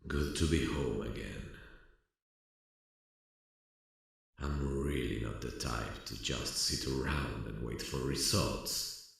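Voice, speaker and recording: A man playing a restless amateur sleuth in an ASMR roleplay, speaking in a low-key, brooding way. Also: slow pace, gravelly, a bit bright, breathy edge, full character voice. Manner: low-key, brooding inner monologue and plain dialogue. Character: restless amateur sleuth working alongside a detective.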